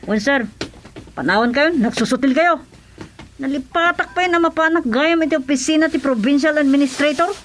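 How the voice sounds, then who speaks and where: A woman's voice: high-pitched, fairly quick, a little hiss or hum, natural voice. A woman acting an indignant, put-upon household helper in an Ilocano radio drama. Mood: complaining.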